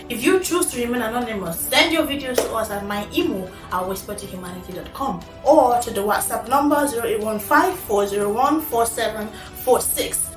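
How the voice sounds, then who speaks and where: A woman with a Nigerian accent reading a scripted radio campaign advert in a declamatory announcer's manner. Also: fairly high pitch. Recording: roomy, distant voice over music. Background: music.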